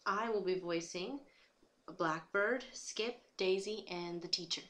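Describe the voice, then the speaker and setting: A woman's voice: mid-range voice, slow pace, fairly bright tone. A woman casually introducing voice auditions as one of the actors. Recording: noisy home recording.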